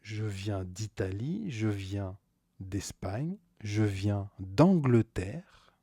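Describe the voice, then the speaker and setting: A man teaching a scripted beginner grammar lesson, slowly repeating each key word and stressing it with a rise. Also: on the low side, lively intonation, mellow, breathy edge, no echo, clean studio sound. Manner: slow, deliberate, repeats key words with stressed rises. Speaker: French teacher.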